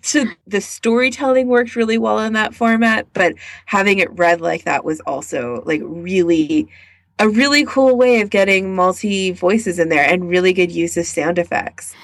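A woman podcast co-host talks in a chatty, informal way with a giggly edge. Her pitch is average for a woman, and the recording is close and dry. She sounds like she is swapping recommendations in casual conversation. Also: moderate pace, no echo. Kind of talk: casual chat recommending picks.

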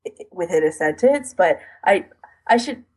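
A woman with a slightly low-pitched voice speaks as a podcast co-host. She is casual and self-deprecating, with a halting flow to her sentences. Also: animated, darkish tone, breathy edge. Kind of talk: casual chat.

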